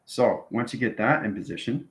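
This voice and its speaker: A man's voice: mid-range voice, animated, normal speed. A man giving an informal live lesson to viewers as an online teacher, repeating himself and often checking in with "okay" and "right". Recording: roomy, echoing room sound.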